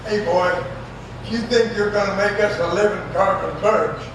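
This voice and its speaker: An older man giving an informal acceptance speech in a folksy, self-deprecating, halting manner. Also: sits high, steady tone. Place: large banquet room over microphone. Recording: echoey banquet room, distant, noisy.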